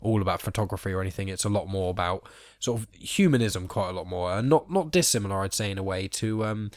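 A man's voice: low voice, quick pace, no room sound, studio quality. A young man hosting a casual chat podcast, rambling with lots of ums and sort-ofs, his nose blocked up from hay fever. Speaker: podcast host.

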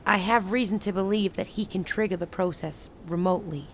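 An actress playing a grave, resolute woman who leads a besieged rebel city in a radio play, speaking in measured, formal lines. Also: mid-range voice, a little hiss or hum, close to an everyday voice. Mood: resigned, resolute. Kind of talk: dramatic radio play dialogue.

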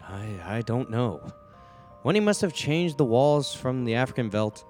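A man's voice: mid-range voice, animated, a little slow, a little mellow, soft background music, close to an everyday voice. A man playing a dismissive, firm husband and father in a radio play. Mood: troubled.

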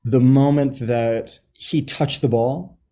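A man in his fifties with an American accent, answering interview questions in a thoughtful, halting, storytelling way. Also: mid-range voice, fairly even intonation, mellow. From Los Angeles, USA, born in Chile. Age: 50s. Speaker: interview guest, soccer writer and supporter. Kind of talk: reflective conversational interview answers.

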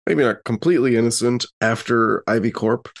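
A man's voice: mid-range voice, brisk, gravelly. A man playing a tabletop role-playing game, casually explaining what his character is after and why.

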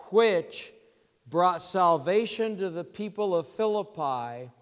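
A congested male pastor from California preaching a sermon, explaining scripture in a measured teaching manner. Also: high voice, fairly bright tone, clean tone. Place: church sanctuary. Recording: long reverb in a church hall.

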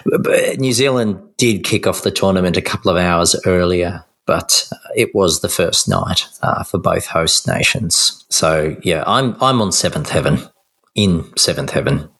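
A middle-aged Australian man co-hosting a casual podcast chat, slightly croaky, halting with many fillers. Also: deep voice, expressive, fairly bright tone. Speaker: podcast co-host.

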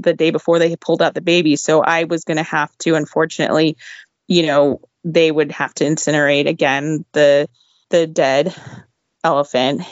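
A woman guest telling an animated story about her veterinary internship, laughing often and breaking into exclaimed asides, her voice a little worn. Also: fairly low pitch, no echo. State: losing her voice. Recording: dull, dry lo-fi audio.

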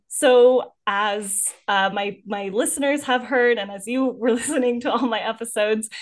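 A young woman hosting a podcast, bubbly and quick to laugh, chatting with a friend. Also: medium pitch. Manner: bubbly, laughs often, lots of "like" and "yay". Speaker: podcast host. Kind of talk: upbeat podcast chat.

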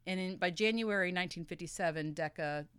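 Podcast co-host, woman, young adult, low-ish voice, deadpan storyteller, dead-dry close mic. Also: fairly quick, smooth, dry recording. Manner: dry, matter-of-fact storyteller. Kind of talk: casual chat, reading history notes.

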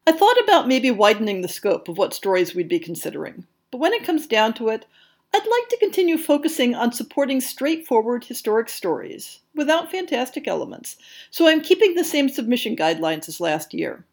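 A woman presents a scripted podcast monologue in a fairly high voice. Her intonation swings widely, with emphatic stresses on key words. The phrasing is articulate and measured, like someone reading out prepared news and announcements. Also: very animated. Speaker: podcast host.

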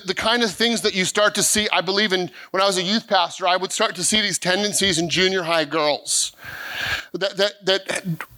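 A middle-aged man preaching with a high, bright, forceful voice. He speaks earnestly and stumbles over repeated words as he builds each point. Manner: earnest preaching with frequent restarts and stammered repeats; acts out quoted voices of people he describes. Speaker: pastor. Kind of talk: sermon.

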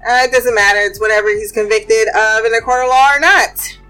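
A high-pitched woman hosts a casual chat show, talking in a bright, forceful tone. She is chatty and blunt, swears freely and moves quickly from gossip to trivia. Faint music runs underneath. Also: fairly even intonation, clean tone, low-quality recording. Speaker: podcast host. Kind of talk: casual pop culture chat and fun facts.

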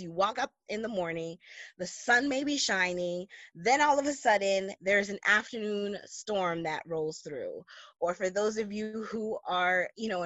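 An American woman hosting a podcast alone, talking reflectively in a measured, conversational, encouraging way. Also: medium pitch, a bit bright, dry recording, muffled recording. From New York and Florida, US. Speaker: podcast host. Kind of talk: reflective solo podcast talk.

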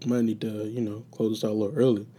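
Young male host, casual profane solo talk, tired and a bit raspy, dark mellow timbre. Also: on the low side, little pitch movement, fast talker. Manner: loose, profane, meandering with many fillers. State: getting raspy and sleepy. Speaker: podcast host.

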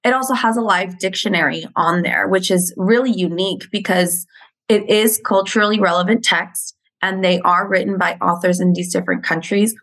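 A woman teacher talks earnestly in an interview, walking through how a reading program works. She grew up in Mexico. Her pitch sits in the middle range. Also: fairly even intonation, moderate pace, a bit bright. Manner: earnest, explanatory. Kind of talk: interview conversation.

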